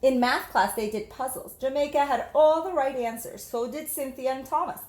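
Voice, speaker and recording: A woman teacher reading a storybook aloud to children in an expressive, instructive manner. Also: high voice, moderate pace. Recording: echoey room.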